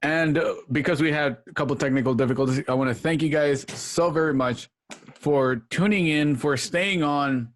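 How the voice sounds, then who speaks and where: A man's voice: mid-range voice, slight background noise. A man hosting, rambling through thanks and show plugs in casual chat from home, laughing often. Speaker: show host. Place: home, over a video call. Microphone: home setup.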